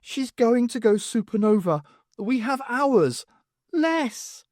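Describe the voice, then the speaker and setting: A shaken young princess, as a male narrator puts her on, her voice pushed well up and breathless. She speaks urgently but slowly. The reading is dry and close. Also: fairly high pitch, animated, darkish tone, dry recording, voice pushed up. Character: frightened young princess navigator, just woken.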